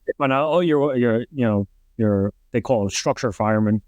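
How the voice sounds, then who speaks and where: A man's voice: mid-range voice, normal speed, darkish tone, no room sound, lo-fi sound. A middle-aged man with a New York accent, a retired fire captain telling rambling stories as a podcast guest and acting out what people said. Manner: rambling, heavy use of "like", acts out quotes. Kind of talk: casual podcast storytelling.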